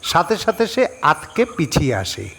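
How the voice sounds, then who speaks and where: A man narrating a scripted horror story as its reader, measured, pausing between short phrases. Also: fairly high pitch, huge pitch swings, gravelly, a bit bright, slightly rough.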